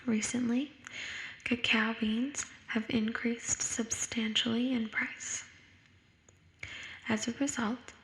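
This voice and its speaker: A young woman speaks in a hushed ASMR whisper, as if reading study material aloud for a listener. Her pace is slow and her intonation stays even. An echo effect trails her voice throughout. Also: a little high. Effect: echo sound effect. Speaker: ASMR creator. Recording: echoing whisper recording.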